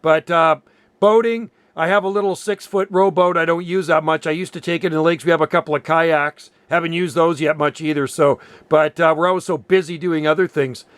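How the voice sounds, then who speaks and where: A man's voice: on the high side, fairly even intonation, fairly quick, fairly bright tone, smooth, no room sound. A man with a Canadian accent chatting casually and informally as an amateur radio operator.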